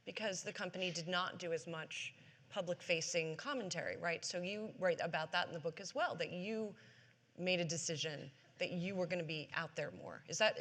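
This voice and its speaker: A woman business journalist asking measured, probing questions as the interviewer in an onstage conference talk. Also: on the low side, fairly bright tone. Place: conference stage before an audience. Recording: long hall reverb on stage.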